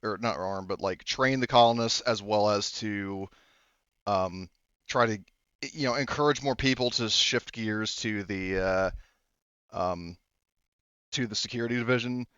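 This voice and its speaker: A man working as game master, narrating a tabletop game off the cuff in a halting, rambling, self-correcting way. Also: lowish voice, fairly bright tone, clear, resonant tone, dry recording, muffled recording.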